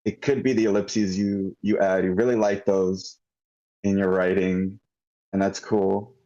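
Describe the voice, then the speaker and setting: A man giving off-the-cuff script feedback on an online group call, halting and circling back mid-thought. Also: deep voice, flat delivery, warm tone, muffled recording. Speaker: table read participant giving script feedback.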